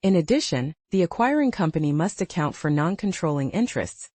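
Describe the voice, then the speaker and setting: Presenter reading a lesson, lively intonation. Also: medium pitch, brisk, breathy edge, no echo. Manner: formal, reading from a script. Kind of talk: scripted educational narration.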